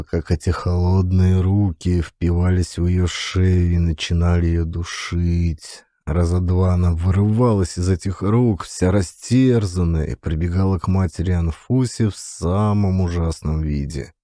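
A man reading classic literature as an audiobook, with measured, expressive, dramatic narration. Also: low-pitched, no room sound, clean studio sound.

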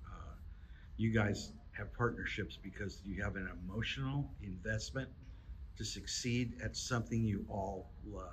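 A man's voice: on the low side, normal speed, slight background noise, low-quality recording. A man on a panel, speaking earnestly with some joking. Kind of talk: panel discussion. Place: large meeting room, indoors.

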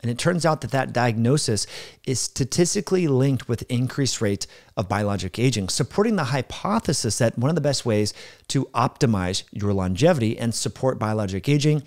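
Forties guy, lowish voice, earnest health explainer talking straight to camera, close mic at home. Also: vocal fry. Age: 40s. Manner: brisk, earnest, listing points. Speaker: health channel host. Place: indoor home room. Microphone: studio mic on boom arm, close.

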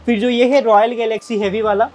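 A man's voice: very high voice, flat delivery, a little fast, a little hiss or hum. A man in his thirties, a salon furniture seller, eagerly explaining chairs in an indoor showroom on a lapel mic. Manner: explains eagerly, points at details, repeats phrases. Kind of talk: product demonstration.

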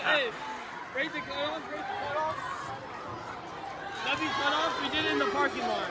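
A young man talks into a phone held at arm's length, his voice high and excited. He chats casually and hypes up the game. Loud crowd noise fills the packed gym around him. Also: moderate pace. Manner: hyped, shouting over a crowd. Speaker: vlogger filming friends. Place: school gym, then packed basketball gymnasium.

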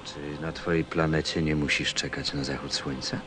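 An actor playing a gentle, reflective storyteller in a radio play, with a soft, thoughtful manner. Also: male voice, rumbling low, flat delivery, moderate pace, heavy background noise. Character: gentle, reflective adult male narrator recalling his small friend. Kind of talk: radio play narration and dialogue. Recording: music under the voice.

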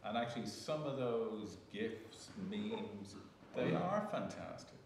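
A man's voice: lowish voice, big pitch swings, slow pace, gravelly. A man speaking off the cuff in a panel discussion, reflective, in short phrases. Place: indoor gallery event space. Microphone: distant camera. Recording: echoey room from far off, some noise.

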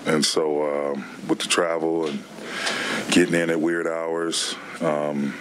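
Very deep-voiced coach, flat and relaxed. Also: male voice, slow pace, a little hiss or hum. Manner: relaxed, plainspoken, frequent "man" and "you know". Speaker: basketball head coach. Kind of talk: postgame press conference. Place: press room before a team backdrop. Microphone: table microphone.

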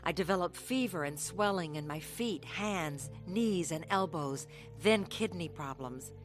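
A woman playing a grown woman looking back on her youth, in measured, scripted radio drama narration. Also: fairly low pitch, animated, soft background music. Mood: reflective. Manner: measured, reflective.

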